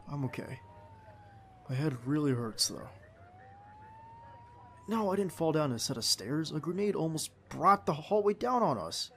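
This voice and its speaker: A man's voice: a little high, a little hiss or hum. A man playing a young male field agent, a doting, stubborn, playful boyfriend, in an ASMR roleplay audio drama. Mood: flustered, pained. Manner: affectionate, teasing, protesting.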